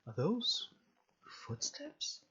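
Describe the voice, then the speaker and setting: A man's voice: sits high, huge pitch swings, drawn-out words, bright, forceful tone, lo-fi sound, a little hiss or hum. A man doing a let's play of a visual novel, reading the text aloud and breaking off with jokey, sweary reactions. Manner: sweary, jokey exclamations between read-aloud passages. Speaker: gaming video host.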